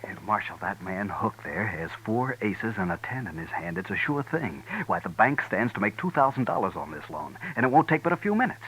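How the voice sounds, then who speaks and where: An actor playing a fussy, flustered middle-aged bank president who stammers, in a 1950s radio drama. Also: male voice, fairly low pitch, big pitch swings, a little fast, hardly any pauses, a bit bright, low-quality recording. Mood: flustered, eager. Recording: hissy vintage radio recording.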